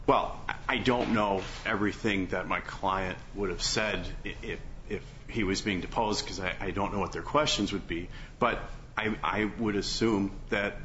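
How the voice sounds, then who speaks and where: A man speaking as a lawyer in oral argument on appeal, halting and hedging, often repeating his phrases. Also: lowish voice, steady tone, fairly bright tone. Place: courtroom. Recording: muffled courtroom recording, faint background noise.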